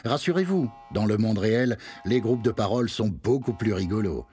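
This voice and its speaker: An actor playing a wry narrator of a darkly satirical radio fiction, in an ironic, theatrical scripted delivery. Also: male voice, fairly low pitch, lively intonation, moderate pace, darkish tone, faint music behind, natural voice.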